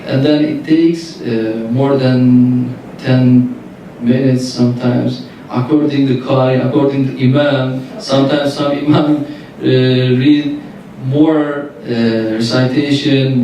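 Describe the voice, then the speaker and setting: Slow, halting man with a Turkish accent. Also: mid-range voice, flat delivery, dark tone, slight background noise. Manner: halting, searching for English words. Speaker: Qur'an reciter. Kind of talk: answering audience questions. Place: lecture room at a workshop. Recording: echoey room, distant mic.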